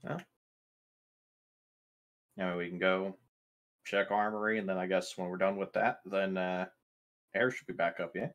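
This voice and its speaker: A man with a slightly low voice talks as a player at a roleplaying game. He is offhand and casual, thinking aloud and swearing freely. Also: steady tone, a little slow, pauses often, fairly bright tone.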